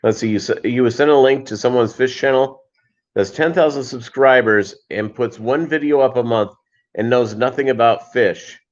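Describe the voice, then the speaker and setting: A man hosting a casual livestream, chatting with viewers in a halting way and wandering between asides. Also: mid-range voice. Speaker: livestream host. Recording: dull lo-fi livestream audio.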